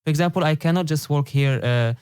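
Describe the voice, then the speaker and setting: Man, smooth clear voice, dry sound, halting English. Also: on the high side, a little mellow, dry recording. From Turkey. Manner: halting, many fillers and restarts. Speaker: podcast guest. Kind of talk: casual discussion.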